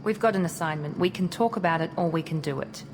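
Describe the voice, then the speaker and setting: A woman's voice: fairly low pitch, fast, natural voice. An actress playing a proud, guarded female operative in a video game, clipped, formal and assertive. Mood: businesslike, aloof. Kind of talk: video game dialogue acting. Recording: noisy game audio with ambience.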